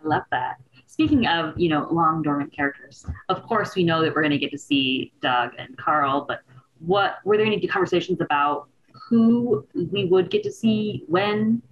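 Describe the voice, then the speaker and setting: A woman with a slightly low voice interviews her guests. She is casual, chatty and enthusiastic as she puts her questions. The sound is a little dull and lo-fi. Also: animated, normal speed. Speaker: entertainment interviewer.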